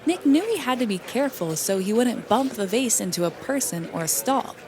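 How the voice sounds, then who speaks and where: A woman's voice: fairly high pitch, lively intonation, fairly quick, a little mellow. A woman narrating a children's storybook as a read-aloud. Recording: clearly noisy background.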